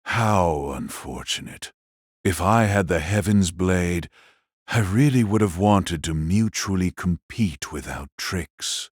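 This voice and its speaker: A fierce fighter from an audio drama, played by a man, speaks with cold amusement. The voice sits deep and husky, swinging widely in melody while keeping a composed, taunting edge. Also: expressive, slow pace, no room sound, studio quality, exaggerated voice. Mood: amused regret. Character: fierce smiling male martial artist, cold and amused.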